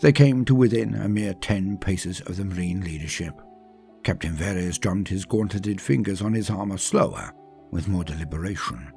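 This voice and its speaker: A man's voice: low-pitched, normal speed, vocal fry, warm tone. A man reading a scripted story as a dramatic narrator, with theatrical, emphatic phrasing that drops away at line ends. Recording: music under the voice.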